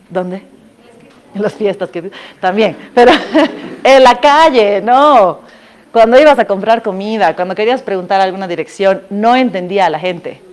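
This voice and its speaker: A young woman from Bolivia with a Bolivian Spanish accent, telling anecdotes to an audience in a casual, animated way, laughing often and asking listeners to agree with her. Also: medium pitch. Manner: animated, laughs often, addresses listeners directly with tag questions. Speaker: former scholarship student giving a talk. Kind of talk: casual storytelling talk to an audience.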